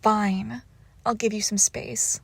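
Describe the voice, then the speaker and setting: Breathy, teasing vampire girlfriend acted by a woman. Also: medium pitch, little pitch movement, fairly bright tone, no room sound, full character voice. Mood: playful, haughty. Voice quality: breathy, often dropping into whispers. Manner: teasing, haughty, slow and deliberate. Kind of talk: intimate romantic audio roleplay, often whispered.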